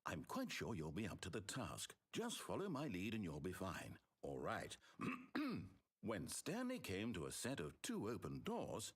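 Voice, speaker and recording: A man's voice: lowish voice, huge pitch swings, measured pace, slightly rough, exaggerated voice. A man playing a fussy, controlling game narrator, articulate and condescending, with theatrical wounded pride. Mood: smugly patient. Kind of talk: scripted narration in a video game.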